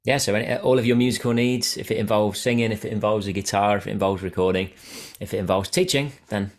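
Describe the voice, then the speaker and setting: A man with a fairly low voice introduces himself casually. He comes across as a laid-back musician and guitar teacher appearing as a guest. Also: steady tone, fast.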